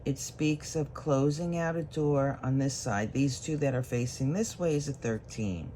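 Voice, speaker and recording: Slow, unhurried tarot reader. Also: mid-range voice, warm tone, a little hiss or hum. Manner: unhurried, thinking aloud as cards are drawn. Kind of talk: solo tarot reading to camera.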